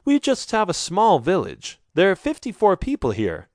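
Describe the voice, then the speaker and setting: Brief: high, eager young village girl, lively lilt, man doing it, dry close read. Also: moderate pace, dry recording. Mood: cheerful. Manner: high and bright, excited questions.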